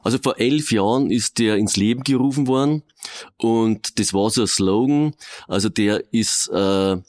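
A man's voice: fairly low pitch, normal speed, a little mellow. A man in his late fifties from Bavaria with a Bavarian accent, chatting casually as a police officer guest on a podcast. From Regensburg, Bavaria. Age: late 50s. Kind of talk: casual, chatty interview answers.

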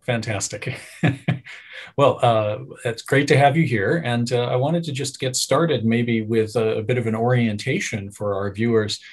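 A man's voice: medium pitch, unhurried. A middle-aged man hosting an interview over a video call, speaking hesitantly with frequent fillers. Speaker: interview host. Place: room at home. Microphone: video call webcam. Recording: dull lo-fi video call audio.

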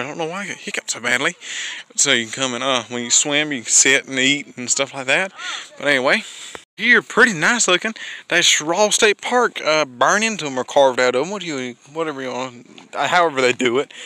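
Bright-voiced rambling vlogger man. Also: fairly high pitch. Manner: rambling, corrects himself often. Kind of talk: casual walking tour narration. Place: outdoors at a lake park boardwalk.

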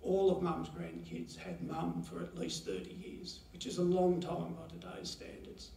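Older man giving a halting eulogy. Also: on the high side, little pitch movement, vocal fry, warm tone, a little hiss or hum. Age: 70s. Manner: halting, many pauses and fillers. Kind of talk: memorial eulogy. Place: funeral chapel, at a lectern. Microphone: lectern mic, heard from a far camera. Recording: roomy chapel echo from far off.

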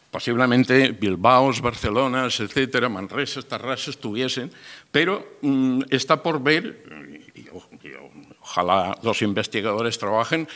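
A man's voice: mid-range voice, a bit bright. A man gives an improvised conference talk, speaking emphatically with digressions and restarted phrases. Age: 60s. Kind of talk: conference presentation with slides, improvised explanation. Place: large indoor auditorium. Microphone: gooseneck microphone on the table. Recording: reverberant lecture hall.

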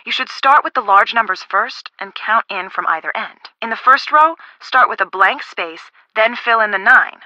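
A young woman, a game detective's friend with a very bright, forward voice pitched on the high side. She is casual and chatty. The sound is dry and a little dull. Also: expressive, moderate pace, few pauses, no room sound. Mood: helpful, explaining. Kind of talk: video game voice acting.

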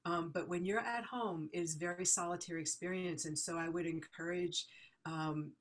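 Woman teacher on a zoom panel, lowish voice, lots of ums and restarts. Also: steady tone, moderate pace. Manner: thoughtful, many fillers and restarts. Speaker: music educator panelist. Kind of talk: video call panel discussion. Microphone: video call laptop mic.

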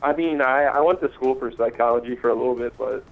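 A muffled, lo-fi phone recording of a man in casual conversation. His voice is mid-pitched and he speaks hesitantly, hedging as he goes, while faint music plays behind. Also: quick pace, creaky. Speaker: caller on a chat line. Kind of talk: casual chat. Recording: dull lo-fi phone call.

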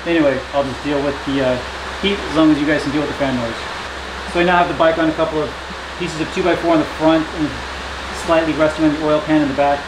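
A man working on a motorcycle in his home garage, talking through the job in a relaxed, matter-of-fact way with frequent pauses. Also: on the high side. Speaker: motorcycle builder vlogger. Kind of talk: casual workshop narration. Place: hot home garage with a fan running. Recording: echoey garage, faint fan noise. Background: fan noise.

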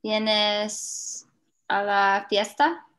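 A woman's voice: mid-range voice, little pitch movement, drawn-out words, fairly bright tone. A woman whose first language is English, giving careful answers as a beginner student over a video call.

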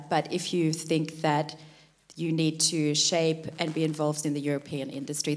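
A middle-aged woman with a handheld mic, opening a conference panel on a theater stage in a chatty, self-deprecating way with many fillers. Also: lowish voice. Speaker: panel host, association co-founder. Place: large dark theater stage. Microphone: handheld microphone.

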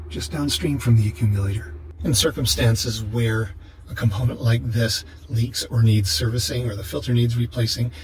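Measured older man explaining bus plumbing. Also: mid-range voice, a little hiss or hum, low-quality recording. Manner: measured, matter-of-fact explaining. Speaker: vlogger. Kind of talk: calm how-to narration.

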